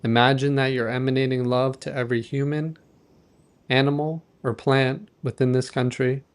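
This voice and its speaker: A man leading a guided meditation in a gentle, measured, softly instructive manner. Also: medium pitch, little pitch movement.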